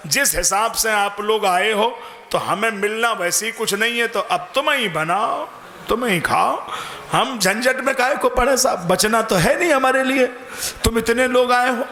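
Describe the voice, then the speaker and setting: A man's voice: very high voice, a little slow, sharp tone, exaggerated voice. A man voicing a blunt, complaining, stubbornly practical village devotee in a religious tale. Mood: stubborn, fed up. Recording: echoey PA sound with music behind.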